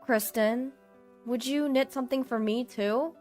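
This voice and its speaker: Woman voicing a gentle, supportive husband. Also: high-pitched, unhurried, soft background music, pitched above the performer's own voice. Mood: admiring, gentle. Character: supportive, admiring husband, gentle adult man.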